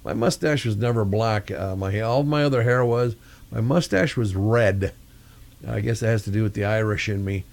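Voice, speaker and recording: A man's voice: fairly low pitch, moderate pace, gravelly, darkish tone. An older man talking casually in a meandering video diary, often searching for words. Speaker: vlogger. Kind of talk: casual rambling vlog talk.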